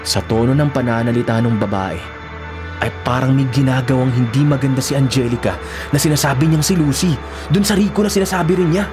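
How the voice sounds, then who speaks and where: A man acting an earnest, lovestruck young boyfriend in a radio drama, expressive and prone to stammering when startled. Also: mid-range voice, a little fast, music in the background, lightly acted. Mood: puzzled, uneasy.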